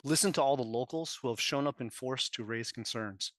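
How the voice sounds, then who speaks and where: A man reading out a prepared public comment at a council meeting, measured and persuasive. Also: medium pitch, a bit bright.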